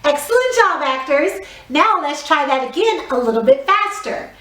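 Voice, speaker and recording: A woman teaching a theatre warm-up to young children, giving encouraging, clearly phrased step-by-step instructions. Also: sits high, expressive, normal speed. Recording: roomy echo, distant microphone.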